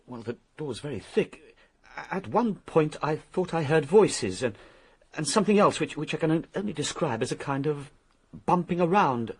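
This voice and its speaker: Radio drama witness: hesitant, nervy, earnest, slightly high husky voice, halting delivery. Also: male voice, animated. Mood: unsettled recalling. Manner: halting, unsure. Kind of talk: radio detective drama acting.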